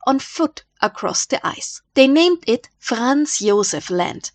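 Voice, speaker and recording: A woman's voice: high-pitched, very animated, slow, dry recording. A woman with an Austrian accent hosting a history channel, narrating a script dramatically and posing rhetorical questions.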